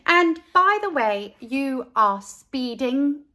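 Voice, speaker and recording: A woman's voice: high voice, lively intonation, slow pace, bright, forceful tone, pitched above the performer's own voice, exaggerated voice. A woman voicing an earnest, blunt and innocent little schoolboy in a storybook, speaking in a matter-of-fact way. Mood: matter-of-fact, proud.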